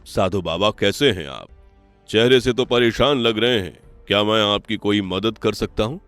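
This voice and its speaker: A man voicing a kindly, dutiful middle-aged king and father in an animated story, courteous and regal. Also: on the low side, moderate pace, clean tone, dry recording, faint music behind, natural voice. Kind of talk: animated moral story voice acting.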